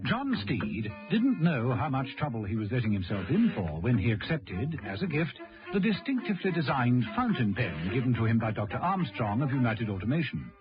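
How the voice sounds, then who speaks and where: A man's voice: medium pitch, very animated, moderate pace, music in the background, lightly acted. A man playing the wry, dramatic storyteller of a radio serial, delivering scripted narration. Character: male arch storyteller, wryly addressing the hero. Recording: dull radio recording.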